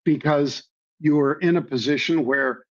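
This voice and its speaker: Hoarse guy explaining trading, lots of ums, kinda dull lo-fi sound. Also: fairly high pitch, normal speed. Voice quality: hoarse from years of floor yelling. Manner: folksy, repeats phrases, many ums. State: slightly hoarse. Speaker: trading guest. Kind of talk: conversational explanation. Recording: dull lo-fi audio.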